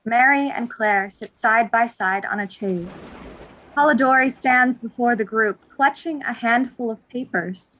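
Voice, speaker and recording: A woman's voice: on the high side, measured pace, fairly bright tone, low-quality recording. A woman narrator reading stage directions in a scripted narration style.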